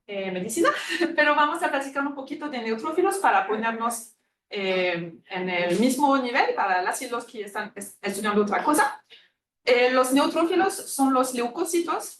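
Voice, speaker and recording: A woman from France with a French accent giving a friendly, hesitant university lecture on immunology, often pausing with fillers and correcting herself. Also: a little high, fairly bright tone. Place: lecture room. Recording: echoey lecture room, distant mic.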